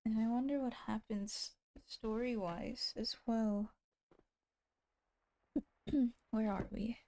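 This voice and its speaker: Mellow-voiced woman streaming a story game. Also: on the high side, steady tone, slow, low-quality recording. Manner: playful, exclaiming asides. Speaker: streamer voicing a visual novel. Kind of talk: livestream voice-through with casual reactions and dramatic reading.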